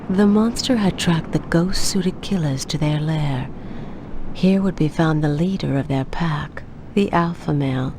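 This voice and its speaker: A grave storyteller for a violent video game, her voice low and solemn. She delivers scripted narration with ominous drama, over clear background noise. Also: unhurried, mellow. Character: grave adult woman narrator recounting a hunter's bloody tale.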